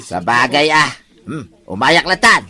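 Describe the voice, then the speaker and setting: A man with an Ilocano accent playing a chummy, excitable drinking crony in a radio comedy drama. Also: medium pitch, measured pace, heavily acted.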